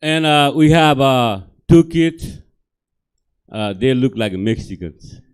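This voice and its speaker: Middle-aged Nepali-accented male comic, broken English, chatty, mid-pitched, stage mic. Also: lively intonation, takes it slowly, smooth. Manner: simple broken English, chatty, keeps asking the crowd "right?". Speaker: stand-up comedian. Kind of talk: stand-up comedy set. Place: comedy theater stage.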